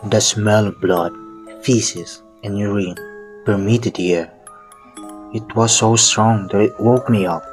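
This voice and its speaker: A man's voice: fairly low pitch, steady tone, slow pace. A man playing a traumatized survivor who narrates a horror story in a scripted audio drama. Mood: grim recounting. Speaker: first-person narrator. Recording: music behind the voice. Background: music.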